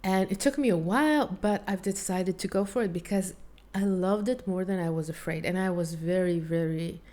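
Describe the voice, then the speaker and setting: A woman's voice: lowish voice, moderate pace, dark tone. A woman with a Hebrew accent, a language teacher and vocal coach, speaking English as a second language in an earnest, reflective way, with small slips. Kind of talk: personal talk to camera, one take.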